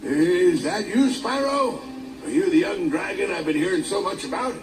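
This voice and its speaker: A man's voice: sits high, expressive, moderate pace. A man voicing a flustered, forgetful dragon elder. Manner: flustered, trailing off. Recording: echoey distant game audio with music.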